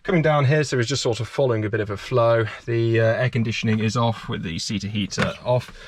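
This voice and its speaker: A man's voice: medium pitch, steady tone, quick pace, no room sound. A man presenting as a pilot, methodically talking through hands-on cockpit checks item by item. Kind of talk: hands-on cockpit procedure walkthrough. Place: small turboprop aircraft cockpit. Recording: muffled audio with clear aircraft noise.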